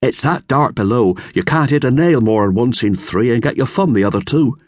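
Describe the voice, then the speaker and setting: A man's voice: medium pitch, expressive, brisk, darkish tone, dry recording, pitched above the performer's own voice, close to an everyday voice. A man voicing Joe, a practical young boy, in an audiobook. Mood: matter-of-fact. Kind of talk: audiobook character voice.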